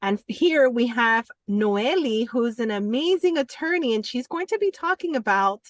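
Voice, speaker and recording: A woman's voice: fairly high pitch, animated, moderate pace, no room sound. A woman co-hosting a podcast interview, effusive and full of praise. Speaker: co-host.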